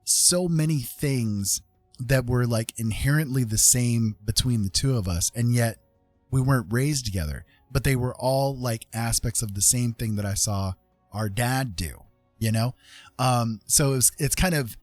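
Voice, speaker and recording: A man's voice: mid-range voice, unhurried, a little mellow, dry recording, soft background music. A man chatting casually as a livestream host, rambling conversationally and often checking in with his listeners. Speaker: live streamer host.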